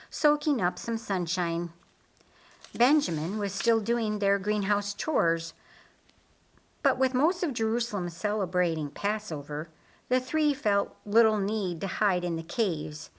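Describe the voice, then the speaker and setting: Female audiobook narrator, slow and deliberate pacing, mid-range voice, scripted storytelling. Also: mid-range voice.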